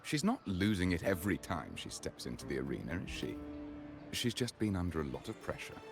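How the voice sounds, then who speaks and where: An actor playing a pragmatic, placating gladiator manager in a video game, with a soothing, persuasive manner. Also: male voice, sits low, wildly swooping pitch, fairly quick, gravelly, music in the background, full character voice.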